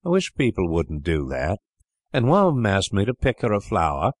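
A man's voice: fairly low pitch, huge pitch swings, normal speed, no echo, studio quality. A man voicing a little boy who rambles artlessly and sounds indignant, in an audiobook reading. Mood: aggrieved.

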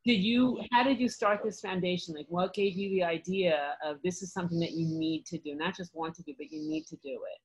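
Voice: medium pitch, animated, fairly quick, creaky, few pauses, husky, rough recording. An interviewer asking earnest, thoughtful questions in a casual conversation.

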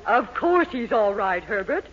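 A woman playing a bossy, brisk middle-aged wife and mother in a 1940s radio comedy. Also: a little high, very animated, a bit bright, breathy edge, heavily acted. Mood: assured. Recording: dull old radio recording.

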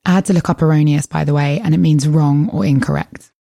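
A woman hosting an interview podcast, with a low, gravelly voice that carries a dark, mellow tone. She sounds friendly and encouraging, and she also steps aside to explain background for the listeners. The sound is dry and close to the mic. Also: fairly even intonation, fast, dry recording. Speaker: podcast host.

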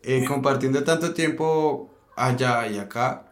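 A man's voice: mid-range voice, measured pace, echoey room. A man from Colombia with a Colombian accent, a podcast guest talking hesitantly with lots of fillers. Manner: hesitant, many fillers like pues and o sea. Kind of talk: casual chat.

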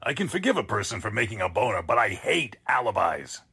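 An actor playing a sarcastic, blustering newspaper editor in a 1940s-style radio drama, with a husky voice. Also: male voice, fairly low pitch, big pitch swings, brisk, pushed and bright, heavily acted. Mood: exasperated, scolding. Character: exasperated, sarcastic male newspaper editor boss.

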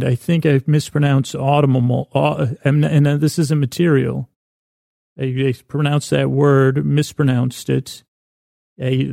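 A man with a gravelly, creaky voice wanders through a silly bedtime monologue. His tone is mellow and relaxed, and he stumbles and doubles back on words in a self-deprecating way. The sound is close and dry. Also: mid-range voice, no room sound. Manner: meandering, halting, stumbling over and repeating words. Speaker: podcast host. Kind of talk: rambling bedtime podcast monologue.

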